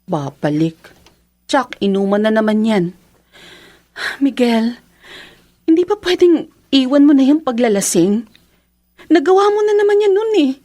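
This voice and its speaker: Young Filipino wife and mother, high-pitched, plaintive, emotional, radio drama. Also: very animated, measured pace, long pauses, mellow, dry recording, full character voice. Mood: hurt, reproachful. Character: long-suffering young wife and mother, hurt and pleading.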